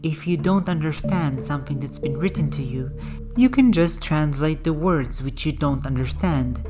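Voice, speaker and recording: A woman with a notably low voice narrates in a calm, measured, explanatory way. Her scripted voice-over runs over a clear music bed. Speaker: language-learning channel host. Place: voice-over, not in the game.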